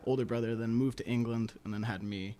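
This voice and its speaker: This is a young man from California who plays guitar and sings in a band. He answers questions in a rambling, hesitant way and fills the pauses with ums. He is a guest at a community radio studio. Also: medium pitch, fairly even intonation, mellow, a little hiss or hum. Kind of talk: casual interview chat.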